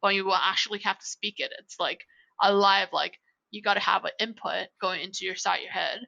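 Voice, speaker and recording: A young woman talking as a podcast guest, rambling from point to point and leaning on "like" constantly. Her voice has a gravelly edge and a bright, forceful tone, recorded close and dry. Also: medium pitch, steady tone, fairly quick, dry recording, highs cut off. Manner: rambling, heavy use of "like". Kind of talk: casual chat.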